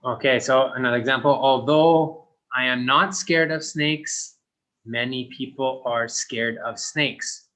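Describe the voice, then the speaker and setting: A male teacher walks young pupils through an English grammar lesson at an unhurried, careful pace. His pitch sits in the ordinary middle range, and he keeps his wording simple and clear for children. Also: bright, forceful tone. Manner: speaks slowly and simply for young learners. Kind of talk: slow, deliberate recorded English lesson.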